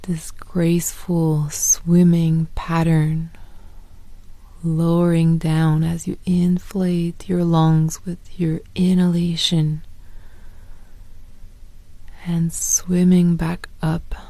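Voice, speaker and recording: A woman guiding a sleep meditation in a soft, partly whispered voice. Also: lowish voice, monotone, drawn-out words, no echo. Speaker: meditation guide.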